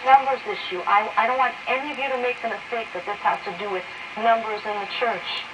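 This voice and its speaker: A man's voice: extremely high pitch, quick pace, far from the mic. A man preaching a sermon, heard over shortwave radio. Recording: noisy shortwave radio reception.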